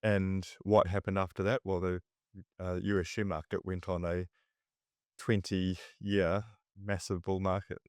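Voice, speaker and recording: A man's voice: low-pitched, level tone, unhurried, a little mellow, clean tone, dry recording. A man talks markets casually, often restarting his sentences. The sound is dry and close, with no room around it. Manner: conversational, restarts mid-sentence. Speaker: investment commentator.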